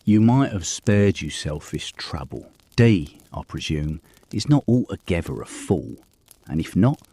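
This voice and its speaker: A man playing a dry, superior amateur detective in an audio drama, with a husky voice and condescending manner. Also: sits low, sing-song, measured pace, dark tone, no echo, exaggerated voice. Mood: dryly dismissive.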